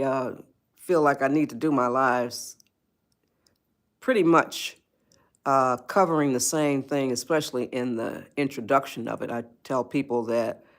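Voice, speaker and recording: An 81-year-old woman, hosting a live stream and giving advice. She rambles, repeats herself and greets viewers by name. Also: rumbling low. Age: 80s. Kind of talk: spontaneous livestream talk.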